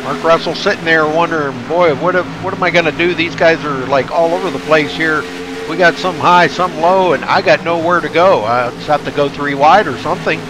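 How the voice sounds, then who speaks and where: A man calling a live race as play-by-play commentator, sounding stuffed up from allergies, excitable and folksy. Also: a little high, fairly quick, background noise.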